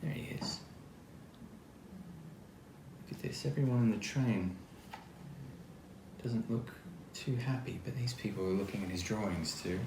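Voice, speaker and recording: Male storybook reader, animated and expressive with chatty asides; roomy sound, faint noise behind. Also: fairly low pitch, unhurried, long pauses. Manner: expressive, acts out quoted lines, chatty asides. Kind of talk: read-aloud of a children's picture book with asides.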